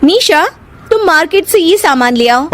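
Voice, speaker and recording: Woman doing a bossy daughter-in-law, shrill high voice, scolding, cartoon story. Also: expressive, moderate pace.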